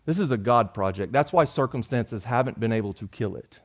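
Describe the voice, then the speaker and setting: A man, preaching a sermon in a folksy, joking way, rising loud and dropping soft. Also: medium pitch, expressive, a little fast. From Georgia. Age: 40s. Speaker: pastor.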